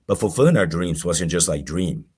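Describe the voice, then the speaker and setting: Male podcast guest, forties, deep voice, halting personal storyteller. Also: expressive, dark tone, slightly rough. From Colombia. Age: 40s.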